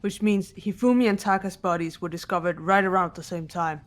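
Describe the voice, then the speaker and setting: A woman's voice: fairly low pitch, steady tone, a little fast, natural voice. A woman playing a composed, serious teenage girl detective in a mystery video game. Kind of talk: dramatic game dialogue and recap narration.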